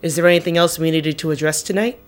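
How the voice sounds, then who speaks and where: Actress as a low-voiced, weary woman team leader. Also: steady tone, fast, faint music behind, close to an everyday voice. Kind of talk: audio drama acting.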